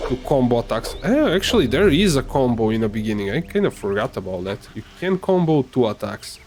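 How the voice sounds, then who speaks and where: A man whose first language is Serbian, giving casual, halting commentary while playing a game, with many fillers and restarts. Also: medium pitch, big pitch swings, a little mellow, soft background music. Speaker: gamer.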